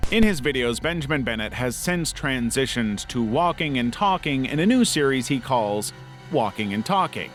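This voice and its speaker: A man's voice: mid-range voice, lively intonation, normal speed, fairly bright tone, soft background music. A man reading scripted narration in the role of an online video narrator. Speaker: narrator of internet video countdown.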